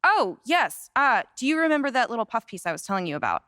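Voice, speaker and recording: A woman's voice: fairly high pitch, big pitch swings, fast, a bit bright, no room sound, clean studio sound. A woman playing a bossy, quirky athlete's handler with an American accent, rambling chattily in a video game. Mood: breezy, persuasive. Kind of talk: conversational video game cutscene acting.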